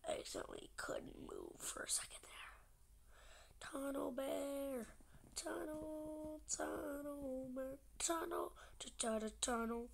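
Young gaming host, sick and stuffy, gravelly, slightly high voice, half-whispered chatter over gameplay. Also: female voice, slow pace. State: stuffy nose from a cold. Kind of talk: casual gameplay commentary.

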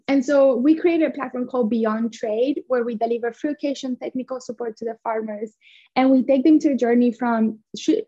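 A woman's voice: on the high side, a little mellow. Colombian woman on a muffled video call. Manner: earnest, talks with her hands. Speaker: guest, coffee company founder. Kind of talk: remote interview. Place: small bright room at home.

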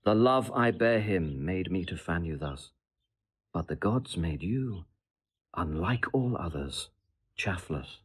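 Husky, scheming Roman seducer. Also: male voice, deep voice, expressive, slow, full character voice. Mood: humble, courtly. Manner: theatrical, declamatory, elaborate courtly phrasing. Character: smooth, scheming Roman seducer, flattering and insinuating. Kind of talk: classical Shakespearean audio drama acting.

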